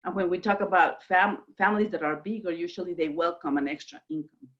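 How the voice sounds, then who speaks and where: A woman's voice: lowish voice, brisk. A woman from Mexico with a Mexican Spanish accent talks on a video call. She is enthusiastic and chatty, with fillers and restarts. The audio is dull and lo-fi. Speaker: guest speaker. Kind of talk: casual conference call chat.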